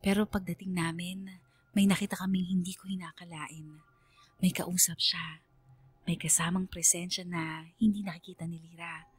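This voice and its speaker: A Filipino woman voicing a haunted letter sender, narrating a first-person radio horror story in a dramatic, brooding way with hushed drops. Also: fairly low pitch, flat delivery, normal speed, breathy edge, dry recording. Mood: ominous.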